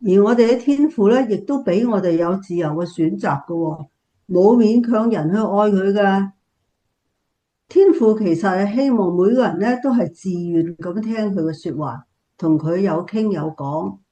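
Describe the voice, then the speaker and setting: A woman with a Cantonese accent playing a gentle, devout older family member, speaking earnestly and with measure in a scripted radio drama. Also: mid-range voice, normal speed, highs cut off, close to an everyday voice.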